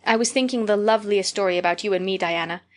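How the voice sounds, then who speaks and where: A woman's voice: medium pitch, fast talker, close to an everyday voice. Brief: woman reader voicing a dreamy, dramatic little girl, pouring out tales in a gushing rush. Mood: earnest, dramatic. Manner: gushing, breathless storytelling. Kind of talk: audiobook character reading.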